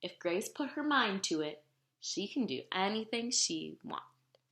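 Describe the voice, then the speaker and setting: Woman reading as a lively, encouraging storybook grandmother. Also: mid-range voice, measured pace, a little hiss or hum. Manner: folksy, encouraging. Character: wise, reassuring grandmother from Trinidad. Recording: roomy, echoing room sound.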